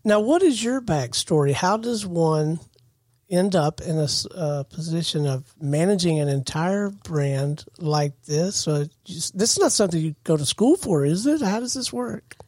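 High-voiced chatty male radio host. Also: lively intonation, moderate pace, darkish tone, no echo, studio quality. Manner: chatty, asks questions, laughs easily. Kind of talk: radio interview. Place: radio studio.

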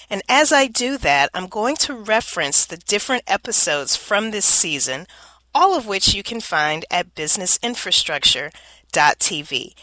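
A woman's voice: medium pitch, pushed and bright, no room sound, low-quality recording. A woman from Louisiana hosting her solo podcast in an upbeat, explanatory way, a little nasal and congested from allergies. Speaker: podcast host.

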